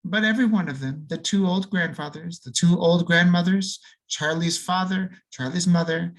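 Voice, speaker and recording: A man reading a children's storybook aloud, slowing down and lingering on key words, with free swings in pitch and loudness for emphasis. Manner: expressive, lingers and slows on key words for effect. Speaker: audiobook reader.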